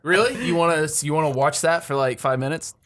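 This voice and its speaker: A man's voice: on the high side, brisk, fairly bright tone. A man co-hosting a review show, chatting in an informal, jokey way. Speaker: co-host of a movie review show. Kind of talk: casual chat, banter.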